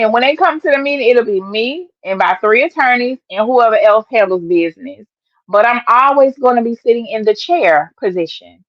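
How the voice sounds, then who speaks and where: A slightly hoarse woman hosts a casual news livestream and chats to her viewers. She talks emphatically and often says her points twice. The sound is dull and lo-fi, close and dry. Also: fairly high pitch, no room sound. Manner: chatty, repeats her points, emphatic exclamations. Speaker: host of an online news show.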